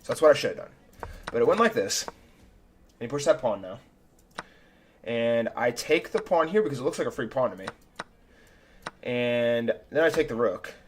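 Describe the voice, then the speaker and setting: A young man, a chess streamer, talking casually and self-critically through his own game, his voice a little raspy. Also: medium pitch, animated, moderate pace, pauses often, slight background noise. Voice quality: slightly raspy. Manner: chatty and self-critical, thinking aloud move by move. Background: faint background music.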